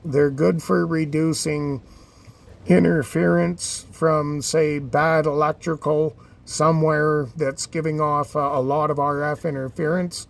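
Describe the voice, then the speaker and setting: A man with a slightly raised, gravelly voice walks through his gear in a relaxed show-and-tell. He takes his time, pausing and restarting often as he explains. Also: on the high side. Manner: unhurried, many fillers and restarts, plain-spoken. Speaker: ham radio hobbyist reviewer.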